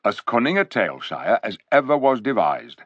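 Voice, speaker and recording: A man's voice: mid-range voice, sing-song, moderate pace, dry recording, voice pushed up. A man voicing a blunt, homely male dwarf who tells his news in a rambling, folksy way. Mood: earnest. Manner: folksy, rambling storyteller's telling. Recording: dull, dry recording.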